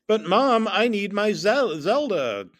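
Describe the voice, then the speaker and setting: A man's voice: high-pitched, sing-song, slow pace, a bit bright, voice pushed up, heavily acted. A man voicing a childish, sulky crybaby son who whines and protests in a comic story. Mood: pleading.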